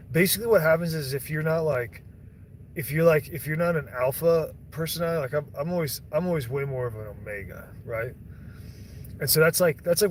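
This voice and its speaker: A man's voice: a little high, slight background noise. Rambling, cussing man streamer. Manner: rambling, profane, self-interrupting. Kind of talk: casual rambling chat.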